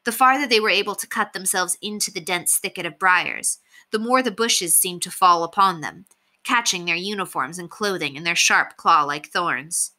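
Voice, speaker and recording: Brief: female story reader, slightly low pitch, very bright crisp tone, expressive children's book narration. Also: moderate pace. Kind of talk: expressive read-aloud narration of a children's novel.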